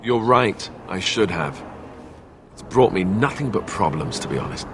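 A man's voice: lowish voice, lively intonation, normal speed, noisy background, natural voice. A man acting a young, self-deprecating, wounded royal warrior in a fantasy video game, speaking in a wry, confessional way. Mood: bitter, wistful.

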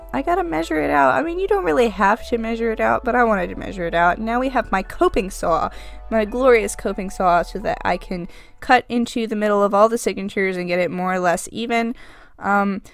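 Young woman narrating her craft vid into a desk mic, stuffy nose, rambly and jokey, mid pitch, faint music under. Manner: rambling, self-deprecating, chatty. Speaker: craft vlogger. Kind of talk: casual voice-over narration. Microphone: desk microphone, voice-over.

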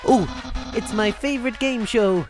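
Woman doing a goofy boastful skeleton guy from a video game, slow theatrical delivery, music under it. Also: on the high side, natural voice. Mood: earnest. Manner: theatrical, grandly declaiming. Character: goofy, boastful, earnest male skeleton monster. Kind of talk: video game character dub.

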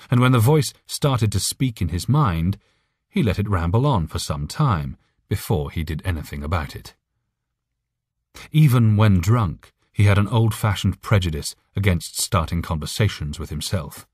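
A man's voice: deep voice, darkish tone, breathy edge, dry recording, studio quality. A man reading scripted story narration as an audiobook narrator.

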